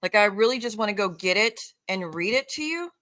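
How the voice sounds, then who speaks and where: A woman's voice: medium pitch, expressive, fairly quick, fairly bright tone. A woman streamer ranting off the cuff to her viewers, confrontational and sarcastic, swearing heavily. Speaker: online streamer addressing viewers. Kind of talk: unscripted live rant, addressing viewers directly.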